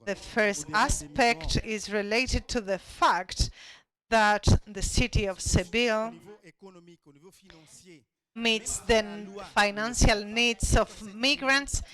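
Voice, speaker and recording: Brief: conference presenter, very slow and deliberate, halting with restarts, mid-pitched voice. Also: fairly even intonation, a bit bright, low-quality recording. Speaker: panel speaker presenting a study.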